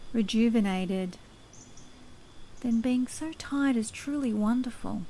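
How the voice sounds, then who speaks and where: A woman speaks as a sleep hypnosis guide, with a very slow pace and a medium pitch. She is soothing and measured, letting long phrases unfold without any rush. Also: creaky, mellow, slight background noise.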